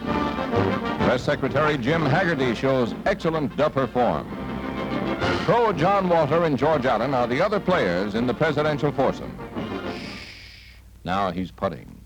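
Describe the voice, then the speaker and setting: A man's voice: mid-range voice, very animated, unhurried, pauses often, music in the background, rough recording. An American man narrating a scripted 1950s newsreel in a dramatic, punchy announcer style. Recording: vintage 1950s newsreel soundtrack.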